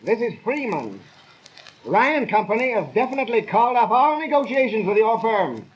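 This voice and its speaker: High-voiced caller, muffled and noisy. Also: male voice, sing-song, moderate pace, slightly rough, full character voice. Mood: matter-of-fact. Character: matter-of-fact business caller bearing bad news. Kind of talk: 1930s radio acting. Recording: dull, noisy phone-call sound, clicks.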